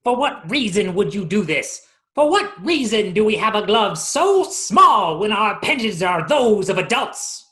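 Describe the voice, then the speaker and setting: A woman's voice: medium pitch, very animated, a little slow, a bit bright. A woman voicing a hapless, wordy underling in a mock-archaic radio play, formal and theatrical. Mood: questioning. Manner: florid, theatrical.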